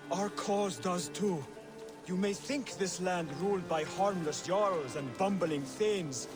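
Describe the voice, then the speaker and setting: An earnest young male assassin scholar in a video game cutscene. His voice sits high for a man, and he speaks with measured, formal conviction, like a mentor giving solemn instruction.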